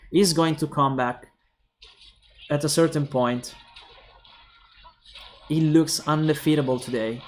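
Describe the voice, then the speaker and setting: A young man with an Italian accent casting a mobile game tournament alone, calling plays quickly and thinking aloud to viewers. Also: a little high, a little hiss or hum. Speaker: esports caster. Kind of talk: solo esports play-by-play commentary.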